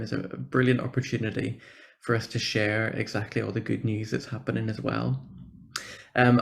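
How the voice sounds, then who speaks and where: A Northern Irish man speaks at mid pitch with a noticeable creak in his voice. He presents informally to an online meeting, in an encouraging and appreciative manner. It sounds like a guest speaker talking over a video call. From Northern Ireland. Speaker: church programme officer, guest speaker.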